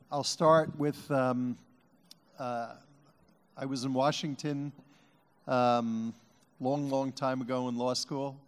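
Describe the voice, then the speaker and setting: A man's voice: medium pitch, darkish tone. A man in his fifties, a law firm partner speaking on a conference panel in a self-deprecating way with many ums. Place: large conference hall. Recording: reverberant conference hall.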